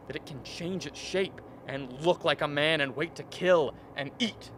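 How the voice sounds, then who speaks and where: A man's voice: a little high, moderate pace, natural voice. A man acting a haunted, vehement polar scientist in a radio play, speaking intensely and repeating words for emphasis. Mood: insistent. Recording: faint steady background noise.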